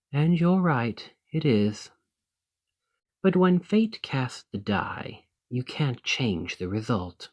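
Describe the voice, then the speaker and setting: A man's voice: fairly low pitch, darkish tone, full character voice. A man playing an ambitious foreign noble in a fantasy audio roleplay, speaking in a formal, composed, courteous and persuasive manner. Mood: sympathetic, composed. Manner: formal, measured, deliberate, condescendingly sympathetic. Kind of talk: scripted fantasy ASMR roleplay monologue.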